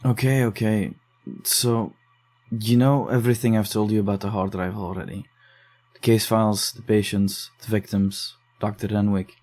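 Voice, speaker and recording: Guy playing jumpy young dude in a horror audio drama, low mellow voice, kinda defensive. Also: little pitch movement, close to an everyday voice. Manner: defensive, uneasy, hurried. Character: shaken young man investigating case files. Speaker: narrator and lead character.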